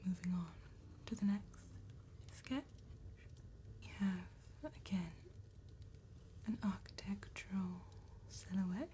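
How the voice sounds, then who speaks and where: A woman performing an elegant, courteous vampire tattooist in a soft-spoken ASMR roleplay, whispering breathily with formal, deliberate phrasing. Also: mid-range voice, slight background noise, lightly acted. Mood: hushed, descriptive.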